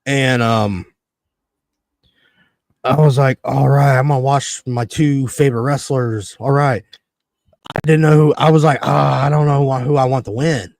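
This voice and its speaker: A man's voice: mid-range voice, measured pace, pauses often, dry recording. A man in his early thirties chatting casually as a podcast host, swearing freely and acting out his reactions as he tells stories. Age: early 30s. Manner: foul-mouthed, animated storytelling with quoted reactions. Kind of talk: casual chat, ranting and storytelling.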